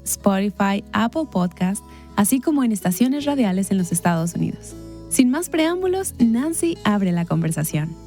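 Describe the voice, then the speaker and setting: A female announcer reads scripted narration in a smooth, polished way. Her voice is fairly high yet mellow and soft in tone. Faint music plays behind her. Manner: smooth, read-aloud announcing.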